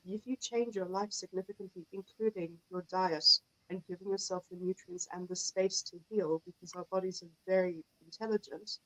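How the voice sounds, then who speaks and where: Even-toned South African woman, dry sound. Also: fairly low pitch, dry recording, low-quality recording. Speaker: guest expert, naturopathic herbalist. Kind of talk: explanatory conversational interview talk.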